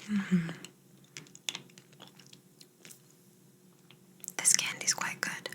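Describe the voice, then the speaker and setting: A jaded female alien, played by a woman, speaks in a breathy whisper for an ASMR roleplay. She is bossy yet soft, handling her human specimen with dry condescension and clinical calm. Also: medium pitch, close to an everyday voice. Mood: dry, grateful. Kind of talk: ASMR roleplay, personal attention.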